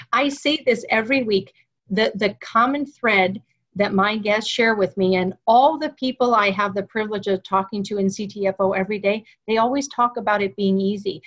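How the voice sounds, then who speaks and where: A woman hosting a sales interview over a video call from her home office, speaking with animated enthusiasm. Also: medium pitch, highs cut off. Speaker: webinar host. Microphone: webcam video call.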